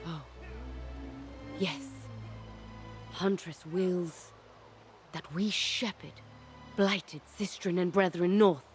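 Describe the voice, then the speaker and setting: A woman voicing a gentle, solemn plant creature who nurtures and makes peace, speaking in halting, simple phrases in a fantasy game. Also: lowish voice. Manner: slow, halting, simple speech with plural self-reference.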